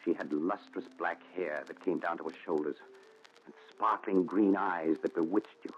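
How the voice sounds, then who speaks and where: An actor playing a haunted, exasperated husband in a 1940s radio thriller, speaking in an earnest, brooding, melodramatic way. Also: male voice, low voice, steady tone, moderate pace, faint music behind, lightly acted. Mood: wistful, brooding. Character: haunted, exasperated husband confessing to his doctor. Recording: dull, slightly noisy 1940s radio recording.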